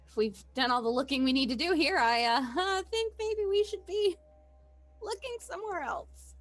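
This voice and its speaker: A woman's voice: extremely high pitch, normal speed. A woman chatting casually as a player in a tabletop roleplaying game. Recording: music behind the voice. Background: background music.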